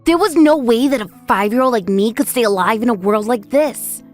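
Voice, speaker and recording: A woman's voice: fairly high pitch, brisk, natural voice. A woman voicing a frightened, lonely orphaned five-year-old boy, narrating his own story earnestly and emotively in an anime-style dub. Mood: miserable. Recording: voice over background music.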